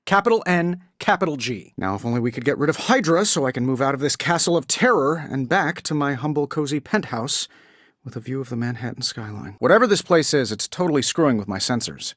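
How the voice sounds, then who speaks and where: A man's voice: medium pitch, expressive, brisk, a bit bright, no room sound, studio quality. A male actor voicing a cocky, wisecracking billionaire superhero inventor for a video game, with an American accent and a sarcastic, glib delivery. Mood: upbeat, cocky, then uneasy.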